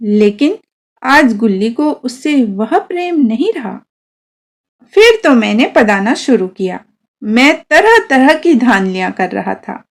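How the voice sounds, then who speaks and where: Female narrator for story reading: high voice, animated intonation, unhurried delivery. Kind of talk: expressive scripted story narration in first person.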